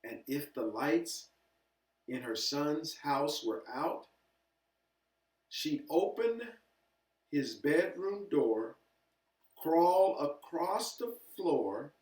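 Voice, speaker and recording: A man reading a children's story aloud expressively and deliberately, pausing between short phrases. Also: on the high side, expressive. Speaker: storybook reader. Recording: echoey room, distant from mic.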